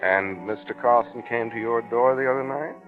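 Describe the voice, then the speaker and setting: Actor as probing detective on tinny old radio. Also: male voice, medium pitch, moderate pace, over music, natural voice. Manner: polite, insinuating questioning. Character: probing, quietly menacing male police investigator. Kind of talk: old-time radio suspense acting. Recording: tinny, dry vintage radio recording.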